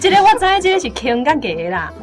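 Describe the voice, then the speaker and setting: A woman with a fairly high voice talks casually as a student contestant. Music plays clearly behind her. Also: very animated, quick pace. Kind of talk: casual quiz discussion.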